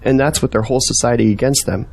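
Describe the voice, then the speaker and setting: A man's voice: mid-range voice, fairly even intonation, fast talker, a little mellow, close to an everyday voice. Earnest man, searching and persuasive, questioning manner, audio drama dialogue, acted by a man. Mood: thoughtful, concerned.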